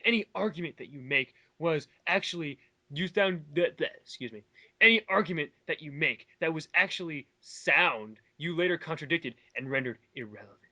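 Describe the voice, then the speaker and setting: A man with a fairly high, sharp and forward voice delivers casual mocking commentary. He comes across as sarcastic and exasperated, pressing his argument as he goes. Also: lively intonation, normal speed. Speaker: commentator reading and rebutting online comments.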